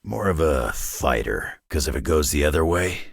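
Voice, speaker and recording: An actor playing a gruff, battle-worn older mutant brawler in a video game, with a deep gravelly rasp and a terse, sardonic, folksy tough-guy delivery. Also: expressive, moderate pace, no echo, studio quality, exaggerated voice. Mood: wry, low. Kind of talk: video game character voice lines.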